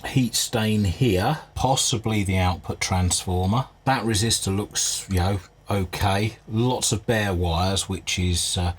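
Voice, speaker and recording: A man with a fairly low, husky voice talks through a hands-on inspection. He presents like a restorer at work, thinking aloud in a measured, understated way without a script. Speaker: vintage radio restorer presenting a repair video. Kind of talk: unscripted hands-on inspection commentary.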